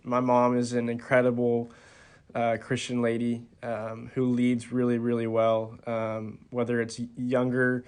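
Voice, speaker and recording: A college-aged man being interviewed on a podcast, soft-spoken and hesitant, with many ums and restarts. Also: mid-range voice, monotone, a little slow, clear, resonant tone. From Texas. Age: 20s. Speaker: college golfer, podcast guest. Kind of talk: casual interview talk.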